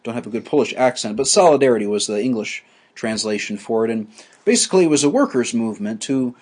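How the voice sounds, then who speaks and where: Husky-voiced man giving a halting lecture. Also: mid-range voice, animated, a little mellow. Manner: halting, many restarts and fillers. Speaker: teacher.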